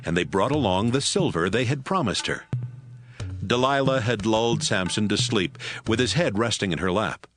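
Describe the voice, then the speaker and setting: A man narrating scripture in a steady, even storytelling manner. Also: lowish voice, moderate pace, music in the background.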